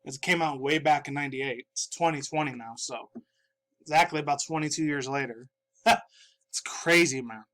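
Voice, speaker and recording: A man's voice: on the high side, normal speed, a bit bright. Stuffy-nosed man in his thirties rambling profanely. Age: 30s. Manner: rambling, profane, laughing often. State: stuffy from dust. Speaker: gaming news YouTuber. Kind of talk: casual video commentary. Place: his own room.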